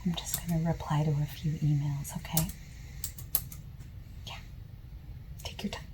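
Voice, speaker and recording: A soft-spoken woman with a mellow, slightly low voice plays a patient, friendly bookstore clerk in an ASMR roleplay. She talks very slowly and gently, often easing into whispery breathiness as she reassures the listener. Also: level tone, slight background noise, low-quality recording. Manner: gentle, unhurried, reassuring customer-service talk.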